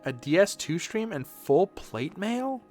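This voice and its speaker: A man's voice: sits high, sing-song, slow pace, darkish tone, soft background music. A man streaming video games, chatting casually in a rambling way with some swearing. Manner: rambling, profane. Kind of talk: casual livestream chat over gameplay.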